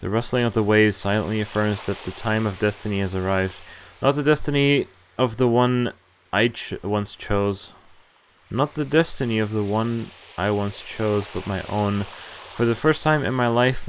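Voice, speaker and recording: A man's voice: fairly low pitch, normal speed, clean tone, low-quality recording. A man on a live game stream, reading visual novel text aloud and reacting casually in an understated way. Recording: game music playing behind. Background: video game music.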